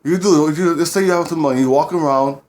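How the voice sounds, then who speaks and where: A man's voice: on the high side, quick pace, a little mellow. A man giving dating advice to camera in a rambling casual way, often repeating himself and using blunt street slang.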